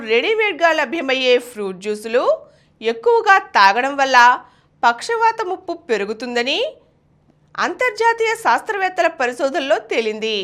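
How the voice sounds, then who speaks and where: A woman's voice: high-pitched, a bit bright. A woman news reader, delivering a scripted news bulletin.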